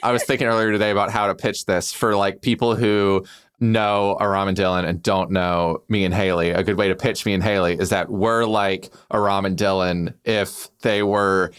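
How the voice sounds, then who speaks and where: Young male podcast guest, casual delivery, clear resonant voice. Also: lowish voice, fairly even intonation, moderate pace, a bit bright. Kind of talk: casual chat.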